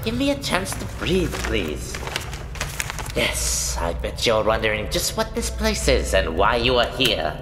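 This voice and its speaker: A woman's voice: a bass voice, wildly swooping pitch, unhurried, music in the background, exaggerated voice. A woman acting a fussy, talkative, bookish cavern recluse in a radio play, with wordy, pompous phrasing. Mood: rambling, evasive. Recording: echoey, noisy, long reverb.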